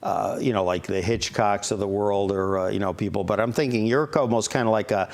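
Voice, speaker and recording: Low-voiced man hosting, halting with fillers. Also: darkish tone. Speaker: talk show host. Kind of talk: studio interview. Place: TV studio.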